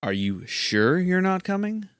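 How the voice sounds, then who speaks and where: A man playing a dry, gently chiding boyfriend in an audio drama. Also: sits high, big pitch swings, unhurried, smooth, no room sound. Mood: teasing, patient. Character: patient, teasing boyfriend of a sulking hero.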